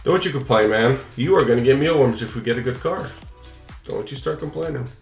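A man's voice: mid-range voice, animated, brisk, gravelly, faint music behind. Playful young man in an echoey room. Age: young adult. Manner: playful, talks to pet and viewers. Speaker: host of a card-opening channel. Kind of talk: casual chat, running commentary on opening trading cards. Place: indoors, small room at home.

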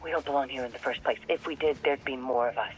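An actor playing a wary, plain-spoken soldier in a small squad, talking urgently and colloquially in a military video game. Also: male voice, mid-range voice, fast, lightly acted, low-quality recording. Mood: uneasy, urgent.

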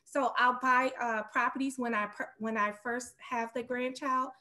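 Middle-aged woman, investor guest on a video call, fairly high voice, conversational. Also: steady tone, normal speed, bright, forceful tone. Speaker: guest real estate investor. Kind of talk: casual business interview. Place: room at home, indoors, on a video call.

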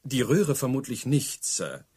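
An actor playing a deferential, principled police subordinate in a radio play, speaking formally with dry, pedantic politeness. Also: male voice, mid-range voice, sing-song, unhurried, darkish tone, breathy edge. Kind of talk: German radio play dialogue.

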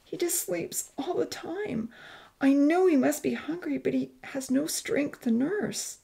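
A timid young refugee mother from a storybook, acted out by a woman narrator. Her voice is pitched high and sounds fragile with emotion, hesitant and pleading. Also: expressive, normal speed, vocal fry, a little mellow. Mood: tearful, weary. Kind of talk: audiobook character dialogue.

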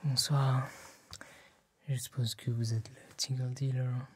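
A young man performing a soft-spoken, partly whispered ASMR roleplay as a polite, formal gentleman tailor, hesitant and courteous. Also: mid-range voice, level tone, slow pace, slight background noise. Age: young adult. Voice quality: breathy, whispery.

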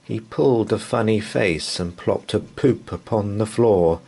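A man's voice: fairly low pitch, creaky, warm tone, husky. A man reading a children's bedtime story aloud in a playful, theatrical way. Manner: playful, theatrical, drawn-out delivery. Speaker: storybook reader.

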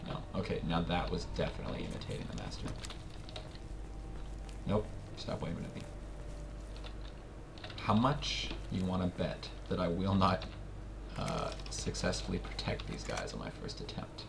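A man with a raspy voice, casually commenting while he plays a game, joking and muttering asides. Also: low-pitched, big pitch swings, normal speed, long pauses. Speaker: gameplay commentator. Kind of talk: casual let's play commentary. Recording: noisy home recording with game sounds.

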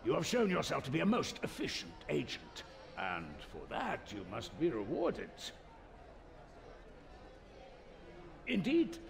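Stern, swooping, creaky-voiced spymaster. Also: male voice, a little high, wildly swooping pitch, exaggerated voice. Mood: formal, approving. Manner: commanding, measured, dismissive. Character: stern, manipulative spymaster father, middle-aged. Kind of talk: video game voice acting. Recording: roomy, faint background noise.

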